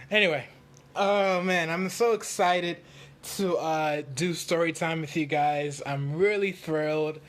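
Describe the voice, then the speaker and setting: A man hosting a children's storytime in casual livestream chat, with a goofy, joking manner and drawn-out delivery. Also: on the high side, a bit bright.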